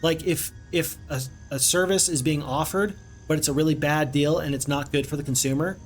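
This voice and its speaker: A man's voice: on the high side, fairly even intonation, fairly quick, soft background music. A man casually commenting on his strategy game stream, rambling and chatting to viewers. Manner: chatty, rambling, reads viewer chat aloud.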